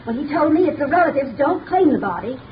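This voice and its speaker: A woman's voice: high-pitched, sing-song, fast talker, lo-fi sound, crowd noise. An actress playing a shaken young female companion who speaks hesitantly and stammers, in 1930s radio acting. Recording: scratchy 1930s radio recording, from far off.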